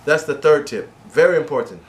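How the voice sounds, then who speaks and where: A man's voice: fairly high pitch, lively intonation, brisk. A man teaching English to learners, speaking directly and repeating key points with frequent "okay?" checks. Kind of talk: instructional video lesson. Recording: echoey room with faint ambient noise.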